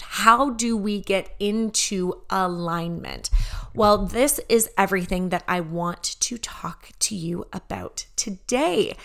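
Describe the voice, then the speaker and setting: Bubbly, enthusiastic woman host. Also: medium pitch. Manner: bubbly and enthusiastic, leaning on "right?" and "like". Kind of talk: solo podcast talk on personal growth.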